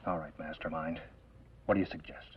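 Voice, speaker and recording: A vengeful western gunman, impatient and bitter. He talks low and fast in tough slang, on a muffled, faintly hissy old TV soundtrack. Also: steady tone. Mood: defiant. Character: hot-headed, bitter young outlaw gunman seeking revenge. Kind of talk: 1950s television western acting.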